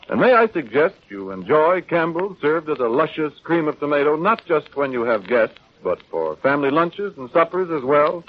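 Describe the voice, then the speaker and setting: High-pitched radio announcer, lively man. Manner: polished, courteous salesmanship. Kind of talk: 1940s radio announcing and scripted ad. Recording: muffled vintage 1940s radio broadcast.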